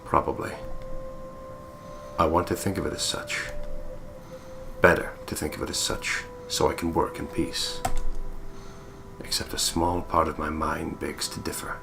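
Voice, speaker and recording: A man reading visual novel text aloud as a deliberate, storyteller-like narrator. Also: extremely low pitch, flat delivery, vocal fry, noisy background. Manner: deliberate, storyteller-like, playful putting on of voices. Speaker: gaming video co-player reading the text aloud. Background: game music.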